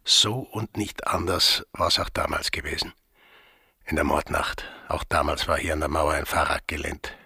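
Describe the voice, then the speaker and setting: Brooding schemer, extremely deep, gravelly, half-whispered, close dry sound. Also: male voice, moderate pace, pushed and bright, dry recording, full character voice. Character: brooding man secretly piecing together a murder. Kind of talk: interior monologue in radio drama.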